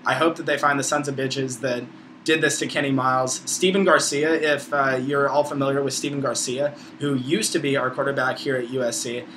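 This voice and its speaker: Male, about 20, American accent, informal college vlogger, opinionated and profane, bright forceful voice, slightly noisy recording. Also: medium pitch, steady tone, brisk. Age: around 20. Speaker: video blogger, college student. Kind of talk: casual opinionated vlog monologue.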